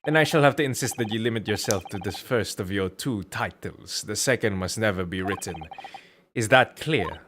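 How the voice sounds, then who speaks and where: Man playing a formal, insistent gentleman detective. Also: on the low side, moderate pace, background noise, natural voice. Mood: grave, firm.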